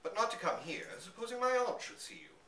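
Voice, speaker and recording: A man voicing a flustered, indignant country gentleman with a frantic, blustering manner, as in a storybook reading. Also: very high voice, sing-song, brisk, voice pushed up, full character voice. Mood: furious. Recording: echoey room from a distance, noisy.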